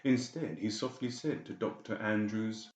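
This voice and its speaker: A man's voice: lowish voice, moderate pace, echoey room. A man narrating a horror audiobook in a dramatic, scripted storytelling style.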